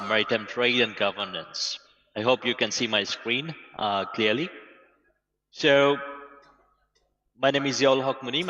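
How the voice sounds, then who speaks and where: A man's voice: on the low side, long reverb tail, muffled recording. A man from Bangladesh, giving a remote conference presentation over a video call. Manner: steady, plain explaining with occasional "uh". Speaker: guest academic presenter.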